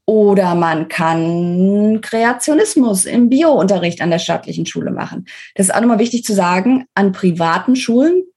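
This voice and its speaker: A woman's voice: medium pitch, animated, normal speed, warm tone, breathy edge. A woman giving articulate explanations as an interview guest, stressing key words. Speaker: interview guest, book author. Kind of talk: explanatory interview talk on a podcast.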